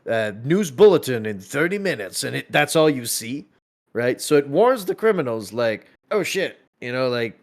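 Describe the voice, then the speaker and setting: A man chatting casually and thinking out loud, halting and repeating words as he works through his ideas. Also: mid-range voice, sing-song.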